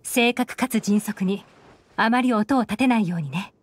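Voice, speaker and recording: A woman's voice: medium pitch, moderate pace, slight background noise, close to an everyday voice. A woman playing a composed, shrewd young intelligence chief who speaks with authority in an anime game. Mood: calm, focused. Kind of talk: Japanese anime game voice acting. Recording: game music behind.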